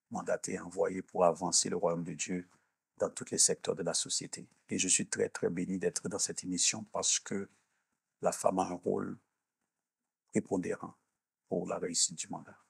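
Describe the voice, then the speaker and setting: A mature man from Haiti and Canada, answering as an interview guest in a deliberate, searching, preacher-like explanatory way. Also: deep voice, little pitch movement, creaky, husky, no room sound. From born in Haiti, grew up in Canada. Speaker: minister and executive coach, interview guest.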